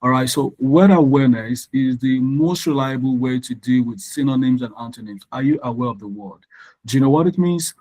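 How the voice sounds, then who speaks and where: A man's voice: mid-range voice, brisk, a little mellow, rough recording. A man from Nigeria whose first language is Yoruba, teaching an online exam-prep lesson, explaining patiently and spelling words out letter by letter. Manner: frequent "all right" checks with learners. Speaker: tutor.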